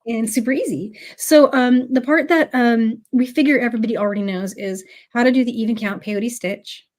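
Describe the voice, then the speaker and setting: A woman's voice: on the high side, brisk. Chatty woman with a cold. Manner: chatty, many ums, greets viewers by name. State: has a cold. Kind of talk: casual live tutorial.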